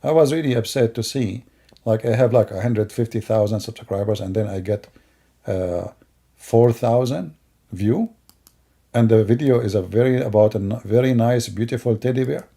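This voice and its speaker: Gravelly, mocking middle-aged man. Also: medium pitch, normal speed, warm tone. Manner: mocking, rambling, with broken grammar. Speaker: commentator. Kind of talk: sarcastic commentary over video clips.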